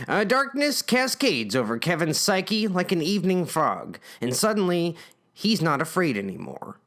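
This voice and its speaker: A man's voice: high-pitched, sing-song, normal speed, a bit bright. A man reading a scripted comic story in a theatrical way, with wry asides. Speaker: writer and narrator of a parody story.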